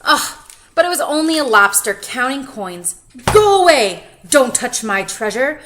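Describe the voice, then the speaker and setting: A woman's voice: high-pitched, sing-song, unhurried, noisy background, voice pushed up. A woman voicing a grumpy, greedy male lobster who growls and grumbles, in a storybook reading. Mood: grumpy, snarling.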